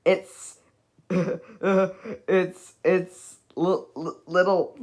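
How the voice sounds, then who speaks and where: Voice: medium pitch, little pitch movement, drawn-out words, a little mellow. A reader doing a stammering, terrified storybook man. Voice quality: stammering, chattering. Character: timid, terrified storybook man, trembling with fear.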